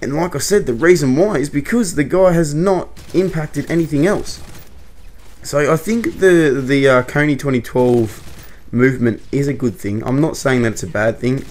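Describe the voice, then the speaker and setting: A man's voice: on the high side, normal speed, a little mellow. A young man, giving a casual, rambling opinion commentary to camera. He corrects himself often and laughs a little. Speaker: YouTuber.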